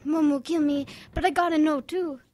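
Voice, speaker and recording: A woman's voice: sits high, steady tone, moderate pace, noisy background, natural voice. A woman acting a nervous but curious young wartime boy in an audio drama. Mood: anxious, curious.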